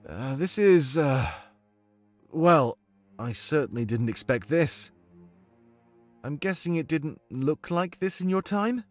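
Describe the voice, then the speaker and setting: A man's voice: a little high, lively intonation, slow, pauses often, soft background music, voice pushed up. A man voicing a resolute, earnest male rebel leader in a furry visual novel, speaking with firm, rallying delivery. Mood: taken aback.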